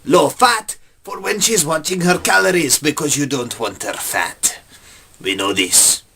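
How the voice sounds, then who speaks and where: A man voicing a pushy sponsor pitchman who speaks in broken English. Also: measured pace, vocal fry, sharp tone, slight background noise, full character voice. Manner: blunt, salesy.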